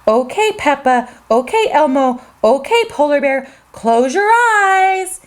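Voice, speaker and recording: A woman voicing a cheerful male cartoon mouse, calling out in a sing-song, excited way in a storybook reading. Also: high voice, a little slow. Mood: playful.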